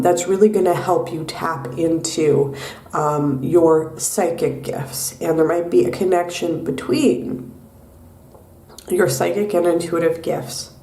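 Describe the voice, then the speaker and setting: A young woman giving a tarot reading in a soft, unhurried way with many pauses and ums, her voice nasal from a cold. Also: on the low side, distant mic. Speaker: tarot reader. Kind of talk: pick-a-card tarot reading. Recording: echoey room, mic set back.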